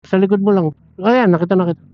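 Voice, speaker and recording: A man's voice: sits high, steady tone, fast, dry recording. A man chatting and laughing with a friend over a helmet intercom while riding a motorcycle. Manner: laughs often, chatty, teasing. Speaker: motorcycle rider. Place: on a motorcycle on the road. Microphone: helmet intercom headset. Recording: muffled lo-fi intercom audio with faint music.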